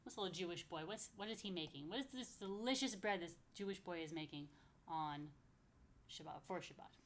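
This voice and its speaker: A woman's voice: lowish voice, a little fast, slight background noise. An American woman reading a picture book aloud to young children, with chatty asides and playful questions to her listeners. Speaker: rabbi, story time host for young children.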